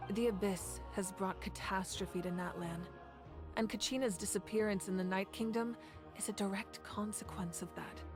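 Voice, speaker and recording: An actress voicing a proud, protective female ruler in a video game, speaking calmly, steadily and with resolve. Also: medium pitch, normal speed, over music, natural voice. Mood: resolute calm.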